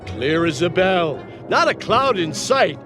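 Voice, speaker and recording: A cheerful, dim-witted cartoon pilot duck chats in a folksy way. The voice is high and animated, goofy and easygoing, over game music. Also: male voice, slow, heavily acted. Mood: upbeat. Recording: background music under the voice.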